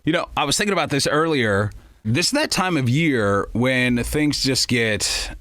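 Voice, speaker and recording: A man's voice: medium pitch, animated, moderate pace, a bit bright, no room sound. An American man working as a radio DJ, talking in a casual, chatty, teasing way between songs. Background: music beds behind the voice.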